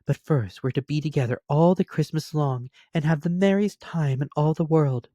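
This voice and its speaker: A man's voice: a little high, moderate pace, dark tone, no room sound, studio quality, voice pushed up, close to an everyday voice. A man voicing a tiny, loving little girl with an eager, gushing, childish manner, in a storybook reading. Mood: gleeful, tender.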